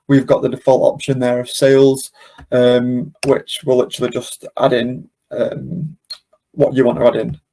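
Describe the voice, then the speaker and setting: A man hosting a webinar, casually talking through a software demonstration step by step without a script. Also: medium pitch, rough recording. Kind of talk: unscripted software walkthrough.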